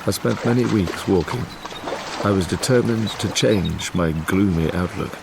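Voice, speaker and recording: A guilt-ridden young British gentleman scientist for a gothic audio drama. The voice is very low and creaky, grave and brooding in its literary phrasing, with faint noise and ambience behind it. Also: a little slow. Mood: resolute. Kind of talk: audio drama narration and dialogue.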